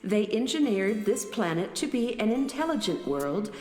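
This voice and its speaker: A woman's voice: medium pitch, very animated, moderate pace, darkish tone, natural voice. A woman voicing a serene, self-assured starship-planet with gracious, slightly boastful manner in a children's audio drama. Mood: proud, explanatory. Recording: big echoing reverb over music.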